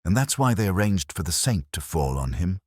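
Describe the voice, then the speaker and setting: A man's voice: deep voice, very animated, normal speed, a little mellow, breathy edge, no echo, clean studio sound, pitched above the performer's own voice, full character voice. A man voicing an earnest, eager, bewildered police sergeant in a story, asking plain and naive questions.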